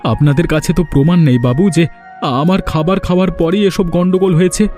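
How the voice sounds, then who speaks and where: A man's voice: fairly high pitch, steady tone, a little fast, mellow, faint music behind, natural voice. A man playing a sly, defensive village food seller in a fairy-tale cartoon, fawning and protesting.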